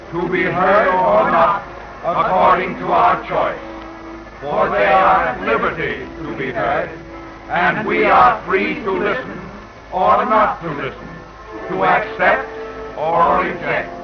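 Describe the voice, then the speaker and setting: Grand, declamatory voice of old radio. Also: male voice, sits high, slow. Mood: solemn, stirring. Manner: declamatory, solemn. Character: grand voice of radio addressing the American public. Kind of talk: 1940s radio narration. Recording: dull 1940 radio recording. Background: music.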